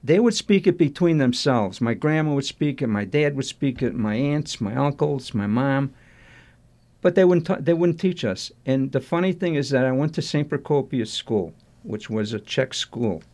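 Older man with a mellow voice. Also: mid-range voice, expressive. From Chicago, USA. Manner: halting, restarts sentences, warmly nostalgic. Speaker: interview guest. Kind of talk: reminiscing interview answers.

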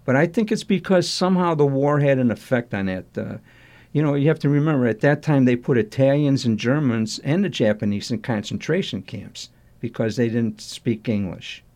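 Older man with a mellow voice. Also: mid-range voice, lively intonation. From Chicago, USA. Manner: halting, restarts sentences, warmly nostalgic. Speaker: interview guest. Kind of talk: reminiscing interview answers.

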